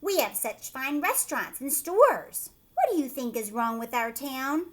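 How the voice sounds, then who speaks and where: A woman's voice: sits high, big pitch swings, a little slow, a bit bright, heavily acted. A woman voicing a worried, well-meaning hippo mayor in a storybook, puzzled and emphatic.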